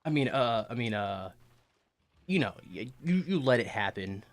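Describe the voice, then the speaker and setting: A man streaming a video game, chatting casually and jokingly while playing, his throat getting a little rough as he's coming down with a cold. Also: medium pitch, lively intonation, moderate pace. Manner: joking, rambling with restarts. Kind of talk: casual gaming livestream chat.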